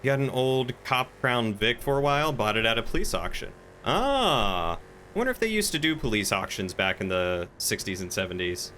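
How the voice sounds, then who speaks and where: A male streamer talks casually through gameplay in a medium-pitched, bright voice. He is chatty and rambles as he thinks aloud, with slight noise in the background. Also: very animated, normal speed. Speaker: game streamer. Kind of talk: casual live-stream chat and gameplay commentary.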